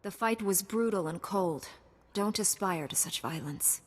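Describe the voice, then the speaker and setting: A woman's voice: medium pitch, big-room sound, natural voice. Woman as solemn, resolute knightly heroine. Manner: measured, formal, solemn. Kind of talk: video game voice acting.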